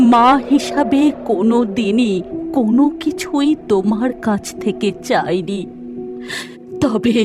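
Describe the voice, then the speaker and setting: A woman voicing a sorrowful, gentle old beggar mother in a horror cartoon, pleading in drawn-out phrases. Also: mid-range voice. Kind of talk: cartoon horror story acting. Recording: music behind the voice.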